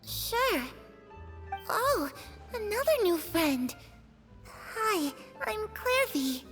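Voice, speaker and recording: A woman voicing a timid, eerie little ghost girl in a game cutscene, soft and slipping into whispers. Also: very high voice, big pitch swings, very slow, over music, exaggerated voice. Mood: friendly.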